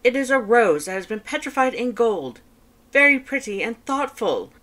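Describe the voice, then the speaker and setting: A woman's voice: fairly high pitch, very animated, moderate pace, fairly bright tone, pitched above the performer's own voice. A woman voicing a proud, competitive male skeleton in a fan fiction audiobook, speaking emphatically and formally with theatrical flair. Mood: proud, boastful.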